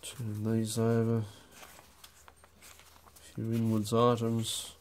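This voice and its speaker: A man talking through a stamp auction lot in a dry, unhurried way, with wry asides, recorded over a desk. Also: on the low side, monotone, darkish tone, a little hiss or hum. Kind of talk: off-the-cuff lot walkthrough. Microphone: overhead camera on a desk.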